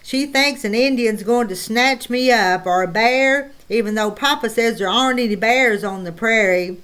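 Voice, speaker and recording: A woman's voice: on the high side, normal speed, a bit bright, lightly acted. A woman doing a frank eight-year-old boy. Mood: earnest.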